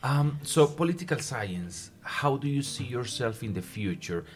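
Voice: medium pitch, expressive, unhurried. An interviewer asking a student questions for listeners, encouraging her, rephrasing questions and supplying the words she is missing. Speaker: interviewer host.

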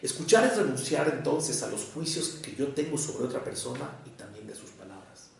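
Echoey, gravelly Mexican man lecturing. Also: medium pitch, sing-song, slight background noise. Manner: deliberate, didactic, with emphatic pauses. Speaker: lecturer on psychoanalysis. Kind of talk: prepared public lecture. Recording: echoey room, distant microphone.

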